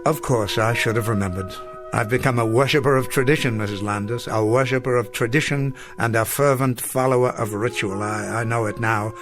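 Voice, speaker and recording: An actor playing an elderly, courtly schoolteacher in a radio drama, wistful and nostalgic, speaking in formal, wordy, self-deprecating phrases. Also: male voice, medium pitch, a little fast, close to an everyday voice. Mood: melancholy, resigned. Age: older. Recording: music behind the voice.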